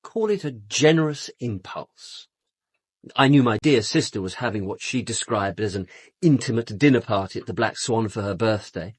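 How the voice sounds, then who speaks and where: Husky, glib British man about town. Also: fairly low pitch, normal speed, no echo. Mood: sardonic. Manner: glib, sardonic, theatrically casual. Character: flippant, louche upper-class brother-in-law, a male suspect. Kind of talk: radio drama acting.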